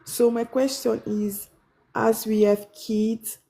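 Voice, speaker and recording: A woman's voice: fairly high pitch, fairly even intonation, slow pace, vocal fry, warm tone. A woman caller with an Italian and French accent, a non-native English speaker, hesitating and searching for words as she explains a hard situation. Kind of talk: caller on a live stream.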